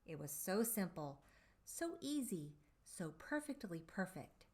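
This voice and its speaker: Slow, animated woman reading a storybook. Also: fairly low pitch. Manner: theatrical, drawn-out emphasis with dramatic pauses. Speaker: storybook reader for children. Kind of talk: expressive read-aloud of a children's picture book.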